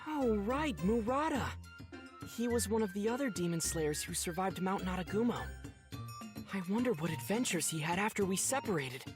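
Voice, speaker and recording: A woman voices a curious young warrior in a comic anime game skit. The delivery is earnest, mild and kindly at medium pitch, with clear music underneath. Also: lively intonation, moderate pace, close to an everyday voice. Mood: earnest, sympathetic.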